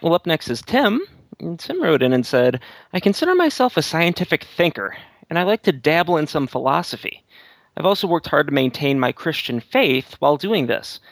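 Gravelly man reading a letter aloud. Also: fairly high pitch, no echo.

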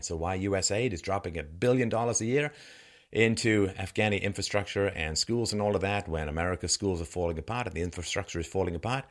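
Man, slightly low-pitched, forceful bright tone, sarcastic commentary, theatrical emphasis, rhetorical. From born in Ireland, grew up in England. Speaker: host of a political commentary show. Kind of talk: opinion commentary monologue.

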